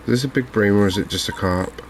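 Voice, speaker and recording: A man giving calm, understated, softly spoken ASMR commentary on a video game, in an unhurried way. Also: fairly low pitch, slight background noise. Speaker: gaming video host.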